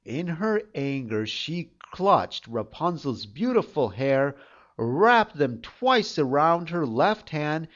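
A man narrating a fairytale from a script in a dramatic, measured, expressive storytelling style. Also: on the high side, highs cut off.

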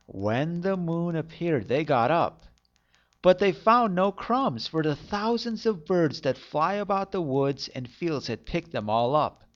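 A man's voice: on the high side, animated, a little slow, muffled recording. A man narrating a fairy tale as a storyteller, reading expressively.

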